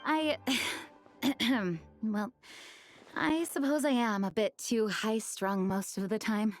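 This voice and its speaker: A woman's voice: a little high, slow pace, soft background music. A woman voicing an earnest, dutiful female knight commander who speaks in a formal, composed way in video game dialogue. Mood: bashful.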